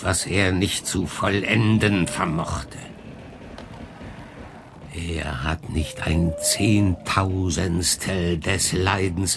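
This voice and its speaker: A man's voice: low-pitched, gravelly, background noise, heavily acted. An actor playing a bitter, anguished male monster in a German radio drama, brooding and swinging between whispers and outbursts.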